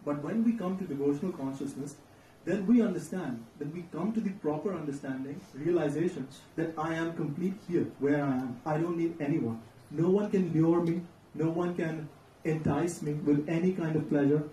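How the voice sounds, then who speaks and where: A man's voice: on the high side, moderate pace, mellow. A man giving a devotional sermon as a religious lecturer, emphatic and repeating phrases for effect. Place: large temple hall. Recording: echoey hall, distant mic, faint noise.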